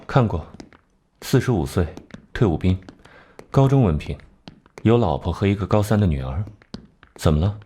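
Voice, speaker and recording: A cool, cerebral investigator from an animated crime series. His voice sits fairly low and moves with lively inflection as he lays out deductions in measured, analytical phrases. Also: slight background noise. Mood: reflective. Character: cold, clever male criminal investigator reasoning through cases. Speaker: detective section chief. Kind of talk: animated crime drama dialogue.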